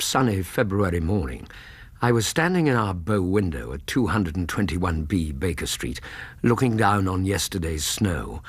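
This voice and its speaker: A Victorian English gentleman narrator for radio drama. His husky, lowish voice swings expressively through measured, literary storytelling, recorded close and dry. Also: dry recording, heavily acted.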